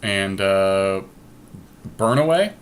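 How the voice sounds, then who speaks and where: A man's voice: sits low, lively intonation, drawn-out words, slight background noise. A man giving casual strategy commentary as a podcast host during a card game draft, deliberating aloud as he goes.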